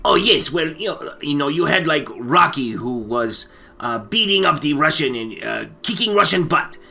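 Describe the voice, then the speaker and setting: A man's voice: fairly high pitch, lively intonation, moderate pace, vocal fry, sharp tone, faint music behind. A man co-hosting a comic movie chat, hesitant and stammering, often repeating his words. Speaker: comedy storytelling co-host. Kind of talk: casual comic movie discussion.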